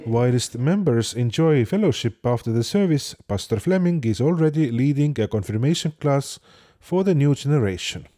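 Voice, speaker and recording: A man who hosts and narrates, asking questions in a measured, gentle way. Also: mid-range voice, lively intonation. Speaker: podcast host and interviewer.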